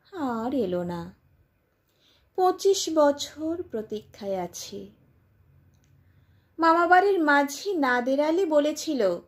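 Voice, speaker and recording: A woman reciting a poem in a dramatic, expressive style, with deliberate phrasing and pauses. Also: sits high. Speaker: poetry reciter.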